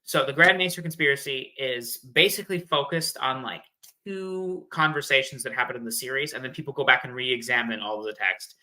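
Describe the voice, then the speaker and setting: Very bright, forceful man explaining casually. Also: mid-range voice, brisk, poor audio. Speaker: guest theorist on a fan discussion show. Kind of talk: casual chat explaining fan theories.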